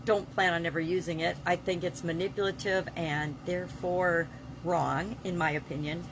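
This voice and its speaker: A woman's voice: fairly low pitch, noisy background. A woman giving an unscripted motivational talk as a vlog host, emphatic and opinionated, repeating phrases for emphasis. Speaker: vlog host and business coach.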